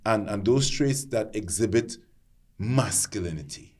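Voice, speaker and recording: A man with a deep voice making an earnest point in a panel discussion, often restarting his sentences as he builds his argument. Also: lively intonation, unhurried, darkish tone.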